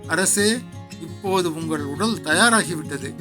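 A man voicing an elderly, calm and sly holy man who speaks in formal, measured instruction. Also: on the high side, music in the background. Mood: calm, instructive.

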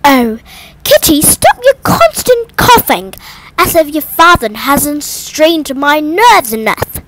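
A woman voicing an excitable, nervous mother mouse in a storybook, with shrill, dramatic exclamations. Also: unhurried, a little hiss or hum, full character voice, rough recording. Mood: fretful.

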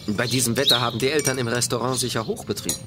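A man's voice: mid-range voice, steady tone, racing, very noisy, lightly acted. An actor playing a polite but distracted young man in a children's radio play.

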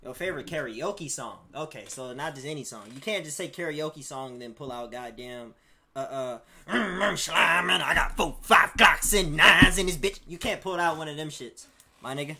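A man's voice: a little high, moderate pace, vocal fry, sharp tone. A young man in a small bedroom talking into a headset, reacting to a video in slangy casual chat. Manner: slangy, repeats "you feel me, bro".

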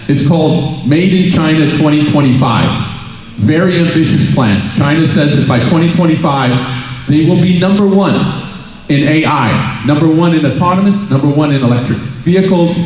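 A man's voice: a little high, darkish tone. A middle-aged man on a stage giving a conference keynote, telling stories and asking rhetorical questions into a headset mic. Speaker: keynote speaker. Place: large conference hall on a stage. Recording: very echoey hall.

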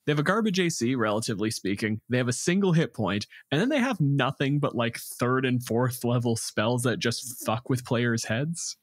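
Dry, husky male podcast host. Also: medium pitch, animated, normal speed, few pauses, no echo. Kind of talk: scripted introduction and casual chat.

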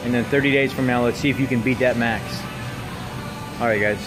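A man's voice: mid-range voice, fairly even intonation, brisk. A man in his thirties talking casually and candidly to camera, self-critical, recorded on a phone at arm's length in a gym. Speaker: gym vlogger. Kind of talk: casual motivational chat to camera. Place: large indoor gym. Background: loud music.

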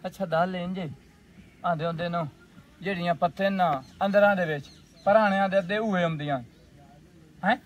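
A man's voice: sits high, steady tone, moderate pace, long pauses, lo-fi sound, a little hiss or hum, close to an everyday voice. A young man with a rural Pakistani Punjabi accent playing a stubborn goatherd suitor in a village comedy drama. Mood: earnest. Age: young adult. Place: outdoors in open village field and yard. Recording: distant outdoor camera sound.

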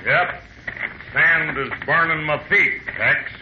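An actor playing an agreeable, folksy, laconic cowboy explorer from Texas in a radio comedy. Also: male voice, a little high, slow. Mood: weary, agreeable. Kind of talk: 1950s radio comedy acting. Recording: dull, noisy 1950s radio recording.

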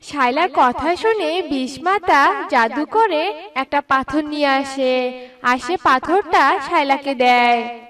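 A woman's voice: high voice, takes it slowly, fairly bright tone, far from the mic. A woman narrating a scripted children's cartoon story.

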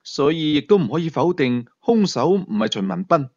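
A stern police commander in a suspense audiobook, acted out by a man with a Hong Kong Cantonese accent. The delivery is earnest, insistent and argumentative, with lively pitch. The recording is dry and a little muffled. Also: normal speed, no room sound.

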